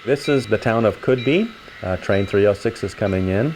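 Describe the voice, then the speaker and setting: A man's voice: fairly low pitch, moderate pace, background noise. An older man giving an informal, explanatory tour of a model railroad layout as its hobbyist guide.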